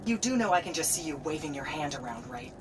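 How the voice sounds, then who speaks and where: A woman's voice: deep voice, sing-song, quick pace, heavy background noise. A woman playing a stern, impatient military commander in a video game, speaking in a clipped, authoritative way. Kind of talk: video game cutscene acting.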